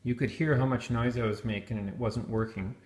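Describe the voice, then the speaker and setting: A man demonstrates gear off the cuff, talking through it step by step. His voice sits at an ordinary male pitch, and the recording is noticeably roomy and echoing. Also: fairly even intonation, a little fast, darkish tone. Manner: step-by-step, explanatory. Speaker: product reviewer demonstrating helmet intercoms. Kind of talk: hands-on gear demonstration, unscripted.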